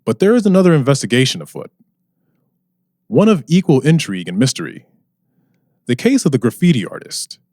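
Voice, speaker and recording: Man as animated radio drama narrator. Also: mid-range voice, a little fast, pauses often, no room sound, studio quality. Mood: suspenseful. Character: dramatic male radio serial narrator of small-town mystery.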